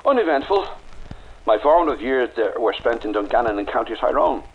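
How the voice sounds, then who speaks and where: An actor playing a wary, defiant rebel leader awaiting execution, with a clipped, bitter manner. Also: male voice, mid-range voice, lively intonation, brisk, dull audio, slight background noise, close to an everyday voice. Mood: guarded. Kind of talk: radio drama acting.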